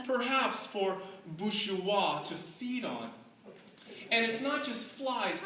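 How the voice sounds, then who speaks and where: A middle-aged speaker telling a tall tale to a live audience in a deliberate, mock-scholarly way with dramatic pauses. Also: medium pitch, bright, forceful tone, far from the mic. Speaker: speaker giving a humorous club speech. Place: indoor room with live audience. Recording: echoey room from afar, faint noise.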